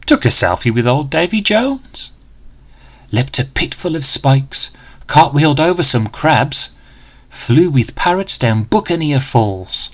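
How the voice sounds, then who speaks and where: A man reading a rhyming picture book aloud to children in an expressive, dramatic, deliberate way. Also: a little high. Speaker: storyteller for children.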